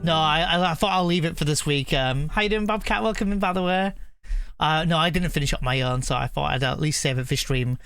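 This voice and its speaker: Higher-pitched man streaming over game music. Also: a little fast, creaky, a bit bright, clear, resonant tone, no echo. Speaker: live streamer playing and reacting to a video game. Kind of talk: casual stream commentary, reading game text aloud.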